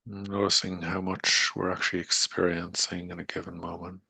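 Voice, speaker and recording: A man guides a meditation in a slow, soothing manner, leaving long pauses between short phrases. His delivery is very even, with little melodic movement. The voice is husky and breathy yet crisp on the top end, gently coaxing the listener along. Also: deep voice, no echo, rough recording.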